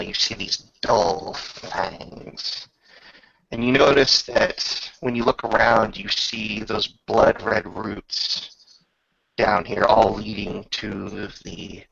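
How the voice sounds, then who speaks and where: A man narrating a tabletop roleplaying game as game master, descriptive and measured, often pausing mid-sentence. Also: fairly low pitch, gravelly, pushed and bright. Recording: dull lo-fi recording.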